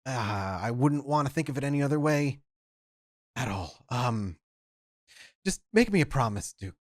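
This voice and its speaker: A man's voice: mid-range voice, animated, dry recording. A jittery young vampire, as a man plays him, tripping over his words and repeating himself as he agrees to help. The voice sits in a middle register, close and dry with no room sound. He sounds eager and on edge. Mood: anxious. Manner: halting, stammering, repeats words.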